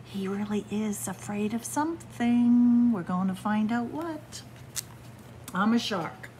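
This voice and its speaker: A middle-aged woman reading a picture book aloud to children in an expressive, story-time manner. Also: mid-range voice, slow pace, a little hiss or hum. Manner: expressive, addresses young listeners as friends. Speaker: library story-time reader. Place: indoors in a library.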